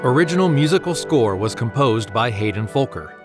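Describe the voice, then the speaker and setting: A man narrating a story from a script in a measured storytelling manner. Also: medium pitch, animated. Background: music.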